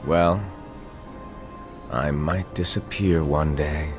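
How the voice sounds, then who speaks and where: An actor playing a whimsical, flippant master in an animated fantasy game film, with a theatrical, teasing, offhand manner. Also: male voice, rumbling low, slow. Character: whimsical, flippant male master teaching his apprentices. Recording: music behind the voice.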